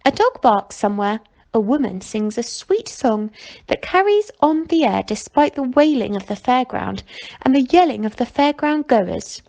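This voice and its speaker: Female narrator for children's fiction: very high voice, lively intonation, expressive scripted reading. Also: moderate pace. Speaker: storyteller.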